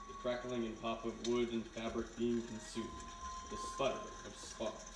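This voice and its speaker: An actor playing a sinister, courteous hypnotist in a live radio mystery, speaking in a measured, insinuating way. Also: male voice, mid-range voice, little pitch movement, over music, close to an everyday voice. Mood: hypnotic, ominous. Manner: measured, insinuating, polite, deliberate. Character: sinister soft-spoken male hypnotist, calmly menacing, courteous. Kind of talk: live 1940s-style radio mystery acting. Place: live performance in a historic house room. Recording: roomy distant live recording, background noise.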